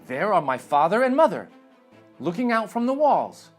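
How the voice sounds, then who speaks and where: A man's voice: sits high, sing-song, moderate pace, faint music behind, pitched above the performer's own voice. A man voicing a noble, watchful young lady in a storybook, speaking earnestly. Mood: earnest, urgent.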